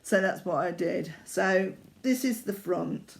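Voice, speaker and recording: Slow, chatty older Yorkshire woman. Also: fairly low pitch, creaky. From Yorkshire, England. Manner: slow, chatty and rambling, with many fillers and self-corrections. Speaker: craft vlogger. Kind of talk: casual chat showing needlework.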